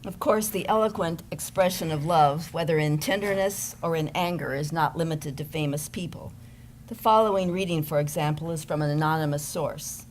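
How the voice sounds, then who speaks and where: A woman's voice: low voice, moderate pace. A woman narrating a scripted stage reading, introducing pieces to an audience. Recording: live stage recording with background noise.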